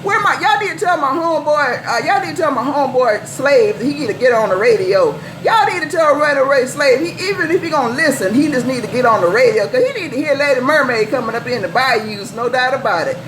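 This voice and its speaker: A woman's voice: high-pitched, expressive, brisk, few pauses. An American woman working as a CB radio operator, bantering in folksy slang and repeating calls, handles and sign-offs. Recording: noisy live CB radio setup.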